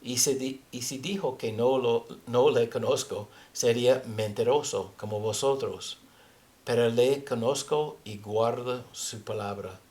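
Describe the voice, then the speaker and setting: A man with a foreign accent, a native English speaker, teaching scripture haltingly as he searches for words. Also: medium pitch, a little mellow. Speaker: religious teacher. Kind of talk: Bible teaching with scripture reading.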